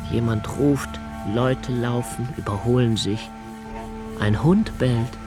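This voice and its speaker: An actor playing an anxious, observant young poet narrating a radio play in a slow, hushed, intimate way, sometimes sinking to a near whisper. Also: male voice, mid-range voice, music in the background, natural voice. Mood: brooding. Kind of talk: literary radio drama reading.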